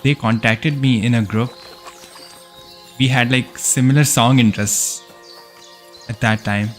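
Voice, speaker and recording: A man casually commenting while streaming a game, chatting with viewers and thinking out loud. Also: medium pitch, fairly even intonation, measured pace, faint music behind. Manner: chatty, answers chat, thinks aloud with fillers.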